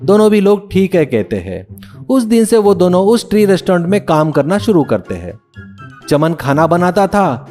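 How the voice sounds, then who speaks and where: A man's voice: high voice, mellow. A man narrating a scripted moral tale as a storyteller.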